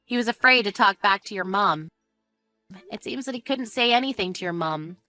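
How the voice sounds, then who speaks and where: Lady acting fed up wife, sarcastic and smug, sharp bright voice, family drama story. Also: medium pitch, expressive, moderate pace, soft background music. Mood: smug, mocking. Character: fed-up, sarcastic young wife taunting her in-law. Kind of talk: acted dialogue in narrated family drama.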